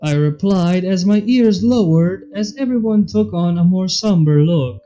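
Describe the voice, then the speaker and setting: A man's voice: sits high, measured pace, dark tone. A man reading fan fiction aloud in a scripted narrating style. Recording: music under the voice. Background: background music.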